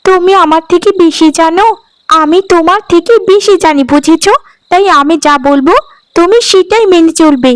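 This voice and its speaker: A woman's voice: very high voice, moderate pace, no echo. A woman voicing a greedy, scheming, bossy young village wife in a cartoon, domineering and wheedling by turns. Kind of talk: cartoon voice acting.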